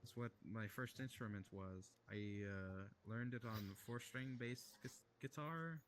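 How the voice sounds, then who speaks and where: Low-voiced man, light Russian accent. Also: measured pace, slight background noise. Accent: Russian-tinged American. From United States. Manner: rambling, easygoing, restarts often. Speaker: streamer. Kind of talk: casual chat in a social VR game. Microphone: headset.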